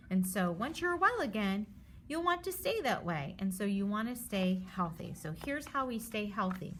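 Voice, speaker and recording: A woman's voice: mid-range voice, a bit bright, a little hiss or hum. A woman reading a children's book aloud in an instructive way, adding simple explanations and often checking that listeners follow.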